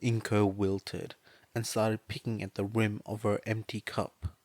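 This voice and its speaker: A man reading fan fiction aloud in a measured narrating voice. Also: fairly low pitch, flat delivery, darkish tone, dry recording.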